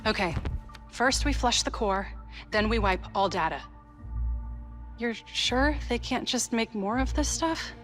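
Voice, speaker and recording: Slow-paced, steely young activist. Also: female voice, a little high, a bit bright. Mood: determined. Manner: deliberate, terse. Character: determined, steely young female activist accomplice. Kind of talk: video game voice acting. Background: background music.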